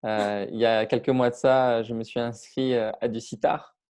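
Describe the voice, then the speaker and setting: Dark-voiced Iranian-born man, hesitant. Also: mid-range voice, fairly even intonation, normal speed, clear, resonant tone. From Iran. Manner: thoughtful, many hesitations and restarts. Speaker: public speaking trainer. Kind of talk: casual interview on video call.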